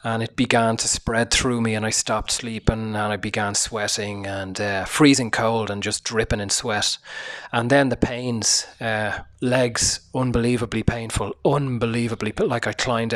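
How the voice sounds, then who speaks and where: A sick man hosting a casual solo podcast, speaking quietly with a hoarse, tired voice, swearing often and using many fillers. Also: medium pitch. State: sick, low energy, voice hoarse. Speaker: podcast host.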